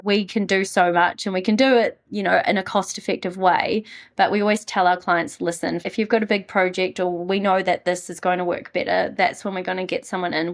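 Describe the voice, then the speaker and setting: New zealand lady chatting in an interview, upbeat, lots of fillers. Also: medium pitch. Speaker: guest, marketing business founder. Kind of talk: casual interview conversation.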